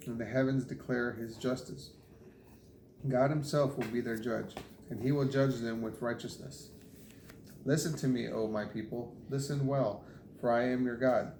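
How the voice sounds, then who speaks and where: A man's voice: mid-range voice, steady tone, moderate pace, darkish tone. A man reading scripture aloud. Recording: echoey room, faint background noise.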